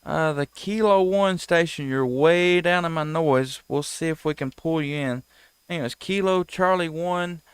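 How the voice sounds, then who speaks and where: A man's voice: a little high, unhurried, clean tone, no echo. A man, hosting an amateur radio live stream with casual, folksy, friendly chat and station calls. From United States.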